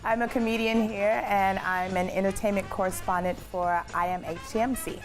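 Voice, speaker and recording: A woman's voice: mid-range voice, moderate pace. A middle-aged woman from Hawaii, a comedian and guest, chatting casually and telling stories with lots of laughs. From Hawaii, United States. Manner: animated, laughs often, storytelling. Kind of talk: casual interview chat. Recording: music bed underneath.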